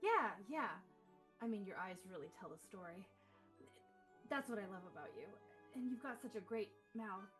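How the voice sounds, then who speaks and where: A woman's voice: mid-range voice, expressive, moderate pace, long pauses, a bit bright, faint music behind, lightly acted. A woman playing a flirtatious, smitten and distracted male eyewitness in a live table read. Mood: flirty, dreamy.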